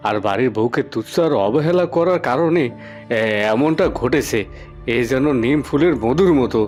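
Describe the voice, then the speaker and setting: A man's voice: mid-range voice, lively intonation, normal speed, a little mellow, faint music behind, natural voice. A man playing an earnest, questioning adult son in a moral-tale cartoon. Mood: reproachful.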